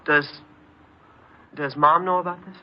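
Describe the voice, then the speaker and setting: An actor playing a troubled, neglected fourteen-year-old boy who speaks haltingly, in the style of old radio crime drama. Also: a little high, steady tone, lightly acted. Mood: pleading, ashamed. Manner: hesitant, halting. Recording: muffled, noisy old radio recording.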